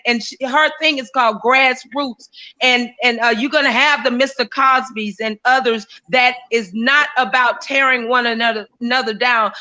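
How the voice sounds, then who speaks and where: A woman co-hosting a casual opinion talk show. Her voice sits high and sounds bright and pointed, and she speaks emphatically, restarting phrases as she pushes her point. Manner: emphatic, many fillers and restarts.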